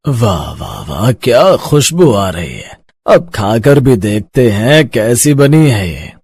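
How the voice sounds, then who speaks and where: A man voicing an older, blunt, food-loving father-in-law in a cartoon, with slow, drawn-out exclamations. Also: mid-range voice, sing-song, darkish tone, husky, no room sound, clean studio sound, exaggerated voice. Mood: savouring.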